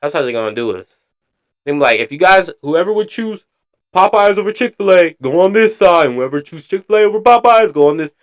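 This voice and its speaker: A man's voice: sits high, lively intonation, a little fast. A man streaming casual reaction commentary, slangy and blunt, swearing freely.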